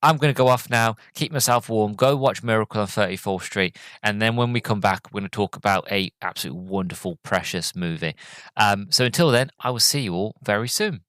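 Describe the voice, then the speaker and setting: A man's voice: fairly low pitch, brisk, a bit bright, clear, resonant tone, no room sound. A man hosting a podcast, chatting casually about a movie, slightly groggy with a cold and rambling with many restarts and ums. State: has a cold, a bit groggy. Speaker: podcast host. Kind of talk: casual solo movie review chat.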